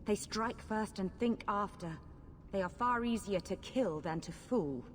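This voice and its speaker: A woman's voice: medium pitch, measured pace, in a large hall, slight background noise, natural voice. An actress playing a proud, impassioned rebel mage woman in a fantasy video game, earnest and persuasive. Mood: urgent pleading. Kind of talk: dramatic video game acting.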